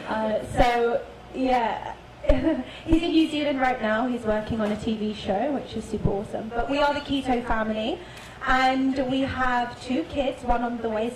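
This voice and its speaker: A woman's voice: fairly high pitch, background noise. A woman in her thirties, a family vlogger introducing herself on a panel in a friendly, bubbly way with frequent laughs. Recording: echoey hall with crowd.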